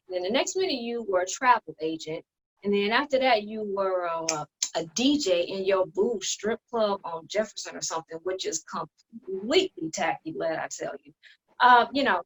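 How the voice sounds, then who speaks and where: A woman's voice: fairly high pitch, fairly bright tone, low-quality recording. A woman acting a haughty, dismissive sister with a mocking, condescending delivery in a dramatic play reading.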